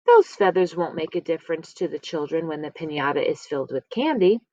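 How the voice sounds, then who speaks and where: A woman's voice: low voice, very animated, fairly quick, darkish tone. A woman voicing a kindly, busy, forgetful grandmother in a storybook, exclamatory and shrugging off mishaps. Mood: cheerfully unbothered. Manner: exclamatory, self-chiding, drops in Spanish phrases. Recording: slightly dull, lo-fi close recording.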